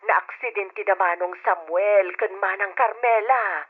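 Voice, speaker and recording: A woman's voice: mid-range voice, measured pace, breathy edge, narrow, tinny audio. A woman playing an aunt calling urgently on the telephone in an old radio drama, heard through a phone filter. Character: middle-aged aunt, urgent caller.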